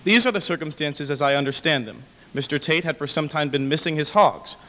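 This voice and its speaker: A young man reading a formal historical letter aloud at a podium microphone, somewhat halting over the words. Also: a little high, bright, forceful tone. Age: young adult. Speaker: dramatic reader. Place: indoor hall with brick walls, small audience. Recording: reverberant hall.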